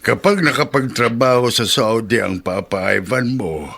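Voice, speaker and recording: An actor playing a gentle old grandfather in a radio drama, halting and reassuring as he speaks. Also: medium pitch, vocal fry. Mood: soothing. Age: older. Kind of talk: Tagalog radio drama acting.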